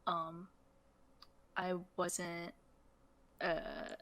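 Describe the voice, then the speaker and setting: A young woman from the Philippines talks casually on a podcast, her voice sitting a little low for a woman. She laughs often and lets sentences trail off before picking them up again. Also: monotone, very slow, a bit bright. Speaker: podcast co-host. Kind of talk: casual chat.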